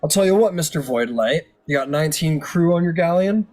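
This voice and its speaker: A man's voice: on the high side, animated, fairly quick, darkish tone, voice pushed up, natural voice. A man playing an easygoing mercenary boss in a tabletop game, talking business in a casual, hesitant way. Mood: businesslike, casual. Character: easygoing male mercenary boss making a deal. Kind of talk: improvised tabletop roleplay. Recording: music playing under the voice.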